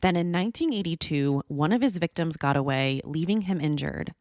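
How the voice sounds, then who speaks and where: An American woman hosting a true crime podcast, narrating from a script in a measured, serious storytelling manner. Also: low voice, expressive, darkish tone, dry recording, studio quality.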